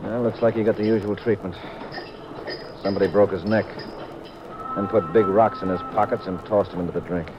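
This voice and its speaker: An actor playing a calm, confident, reticent detective husband in a 1950s radio mystery. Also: male voice, fairly low pitch, a little fast, long pauses, lightly acted. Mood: pondering. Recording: muffled, noisy 1950s radio recording.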